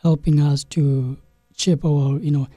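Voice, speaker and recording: Brief: young South Sudanese-born man, dark husky voice, halting, flat delivery, dry close mic. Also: fairly high pitch, no room sound. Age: young adult. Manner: halting, many restarts and "you know". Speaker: guest, game developer. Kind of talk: interview storytelling.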